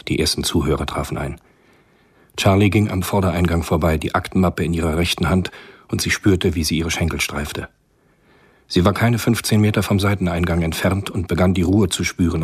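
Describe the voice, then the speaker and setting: A man narrating a spy thriller radio drama in a measured, literary storytelling manner. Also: sits low, slightly rough.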